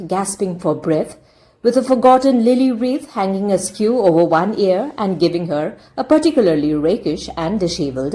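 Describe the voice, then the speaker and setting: Mellow, animated woman narrator. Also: on the low side. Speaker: storyteller, narrator. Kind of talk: expressive audiobook read-aloud narration.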